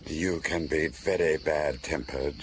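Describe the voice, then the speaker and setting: An actor playing a wry, knowing confidant who gently needles his friend in a radio drama. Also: extremely low pitch, steady tone, unhurried, slight background noise.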